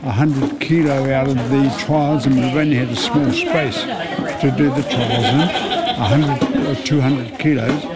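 A man's voice: medium pitch, a little slow, a loud crowd. A man who leads a community garden, talking casually in an outdoor interview and often tagging "eh". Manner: relaxed, repeats words, tags "eh".

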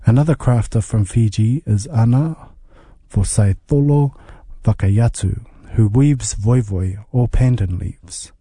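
A man narrating a radio feature report in a measured, scripted way. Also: mid-range voice, warm tone, husky, no echo.

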